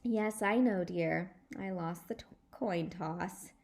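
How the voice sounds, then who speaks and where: A woman's voice: on the low side, slow pace, gravelly, a little mellow. Woman playing a dry, annoyed storybook grandma. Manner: dry, sarcastic.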